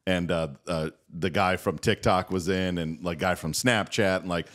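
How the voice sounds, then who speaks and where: A middle-aged man co-hosting a podcast, telling rambling stories in a relaxed, chatty way. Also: moderate pace. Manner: rambling storyteller, mimics senators in high mocking voice. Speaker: podcast co-host. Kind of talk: casual chat.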